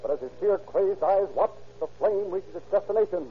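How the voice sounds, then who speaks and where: A man's voice: high voice, a little hiss or hum. Dramatic 1930s male radio narrator. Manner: dramatic, measured storytelling. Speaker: announcer and narrator. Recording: tinny 1930s radio recording.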